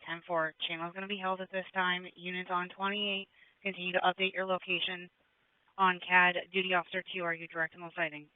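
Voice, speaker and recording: A male police dispatcher giving brisk, procedural acknowledgements over the radio. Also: high-pitched, little pitch movement, clear, resonant tone, no room sound. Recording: tinny police radio with static hiss.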